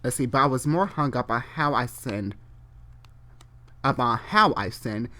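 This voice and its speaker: A mid-pitched host reads a story dramatically. The delivery stumbles over the text and keeps veering into ranting asides, exasperated and full of swearing. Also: animated, measured pace, bright, forceful tone. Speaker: host doing a dramatic reading.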